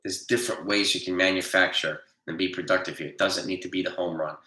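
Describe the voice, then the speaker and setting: A man hosting a casual livestream, reading viewer comments and answering them bluntly, nasal from allergies and a cold and often clearing his throat. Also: low voice, steady tone, fast, pushed and bright, roomy sound. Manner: blunt, argumentative, defends his opinions. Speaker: livestream host.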